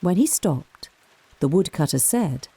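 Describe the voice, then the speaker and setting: Expressive low-voiced woman storyteller. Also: unhurried, husky. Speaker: storyteller, narrator. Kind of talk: scripted folk tale narration.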